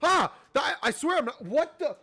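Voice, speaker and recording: A man's voice: very high-pitched, sing-song, fairly quick, bright, forceful tone. A man of twenty-seven chatting casually on a game livestream, bantering playfully and swearing freely. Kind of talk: casual livestream chat while gaming.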